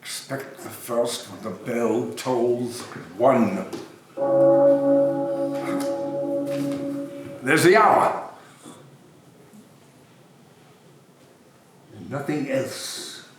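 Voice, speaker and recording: A man's voice: medium pitch, slow, lightly acted. Man playing distant, echoey grumbling old miser. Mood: apprehensive. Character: wary, grumbling old miser haunted by spirits. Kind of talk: amateur staged dramatic reading. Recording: very echoey room, distant mic, faint noise.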